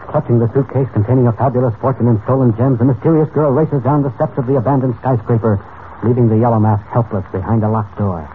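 An actor as a dramatic narrator, reading a suspenseful 1940s radio serial script. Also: male voice, mid-range voice, natural voice. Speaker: announcer, narrator. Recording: tinny old radio recording, light noise.

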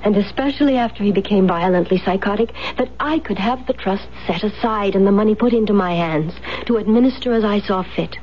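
An actress playing a cold, imperious, money-hungry wife in a 1970s radio drama, haughty and deliberate in manner. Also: female voice, medium pitch, natural voice. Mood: cool, calculating. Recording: noisy, dull 1970s radio broadcast.

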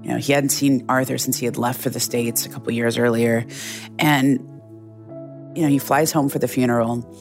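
A woman's voice: rumbling low, steady tone, moderate pace, a little mellow, soft background music. A middle-aged woman journalist telling a story in an earnest, reflective way, often restarting her phrases. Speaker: journalist guest. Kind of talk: conversational storytelling interview.

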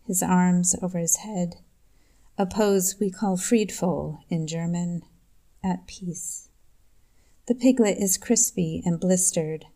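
A woman from New York State introducing herself and reading her own poem in a slow, measured, deliberate way. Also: on the low side, mellow. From New York State, USA. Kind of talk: poetry reading.

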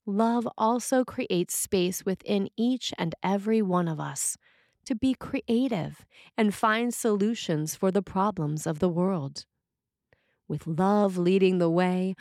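Woman reading out a sermon, measured n deliberate, very dry recording no room sound. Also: mid-range voice, no room sound. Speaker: sermon reader.

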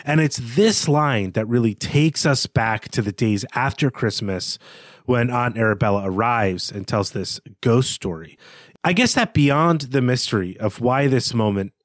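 A man co-hosting a podcast, talking through literary analysis in an analytical, measured manner. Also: medium pitch, no echo, studio quality. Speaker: podcast co-host.

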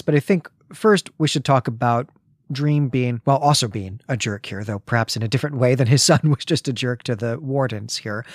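A man's voice: a little high, no echo, clean studio sound. A man co-hosting a conversational literary discussion, giving wry and opinionated commentary. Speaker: podcast co-host.

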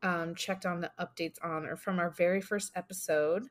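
A woman's voice: fairly low pitch, fairly even intonation, normal speed. A young woman in her twenties co-hosting a podcast, chatting casually and joking playfully. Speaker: podcast co-host. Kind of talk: casual chat.

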